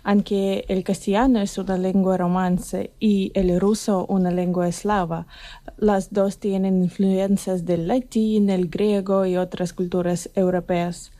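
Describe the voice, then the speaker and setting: A woman with a dark, mellow tone gives a measured explanation that sounds read out. The recording is dry and close. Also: mid-range voice, flat delivery, no echo. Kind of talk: prepared explanation.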